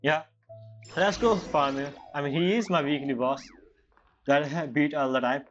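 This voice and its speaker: A male streamer chats casually through a playthrough in a slightly high voice. His commentary is slangy and informal. The sound is a touch muffled, with faint music beneath. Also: normal speed. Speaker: game streamer. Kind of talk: casual let's play commentary. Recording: slightly dull, game music underneath.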